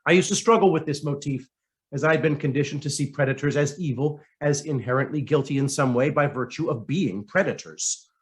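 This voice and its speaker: A man reading a written essay aloud as scripted narration, in a measured, dramatic way with deliberate pauses. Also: fairly high pitch. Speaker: reader of a written article.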